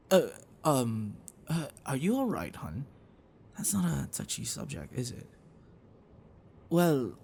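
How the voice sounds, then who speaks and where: A man playing a gentle, bookish dragon-blooded librarian boyfriend in an ASMR roleplay, speaking in a hesitant, caring way. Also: mid-range voice, vocal fry, a little hiss or hum. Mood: tender, concerned. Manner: hesitant, soft, caring, explanatory.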